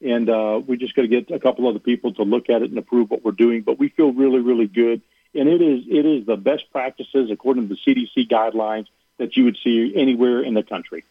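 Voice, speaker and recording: A man's voice: mid-range voice, steady tone, fast talker, slightly rough, no room sound. A man guest on a sports radio show, giving earnest answers and repeating words for emphasis. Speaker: athletic director guest. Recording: phone line.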